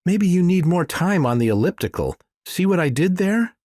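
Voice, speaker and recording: A man's voice: high-pitched, very animated, normal speed, darkish tone, no room sound, pitched above the performer's own voice. Guy reading an audiobook as awkward earnest indian dude, bit wry, really dry close sound. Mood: playful, wry. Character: Manjunath, awkward, earnest Indian man, wry then grave.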